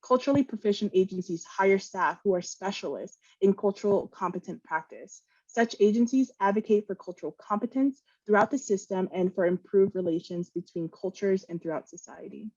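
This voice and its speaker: A woman's voice: medium pitch, fairly quick, hardly any pauses, darkish tone, muffled recording. A woman whose first language is Vietnamese, presenting an online training webinar in an earnest manner, with fillers and restarts, on a video call mic.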